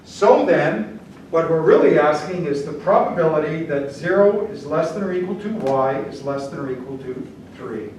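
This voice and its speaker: A man's voice: fairly high pitch, lively intonation, normal speed, darkish tone. An older man whose first language is German, lecturing on statistics at a whiteboard in a casual, blunt way that keeps checking in with the class. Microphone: camera at the back of the room. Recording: echoey classroom from far off, noisy.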